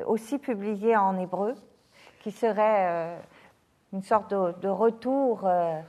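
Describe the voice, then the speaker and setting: A woman hosting a literary interview, speaking in a measured, attentive way as she prompts her guest. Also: mid-range voice, darkish tone. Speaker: interviewer, host.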